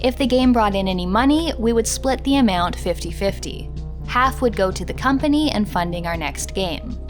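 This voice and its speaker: A woman's voice: medium pitch, lively intonation, normal speed, faint music behind. A woman reading out first-person quotes as scripted narration for a documentary. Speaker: reader of quotes.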